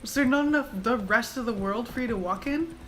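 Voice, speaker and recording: A woman's voice: on the high side, a little fast, a little hiss or hum. A woman giving casual, explanatory commentary as she plays through a video game. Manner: informal, explanatory. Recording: loud game ambience behind voice.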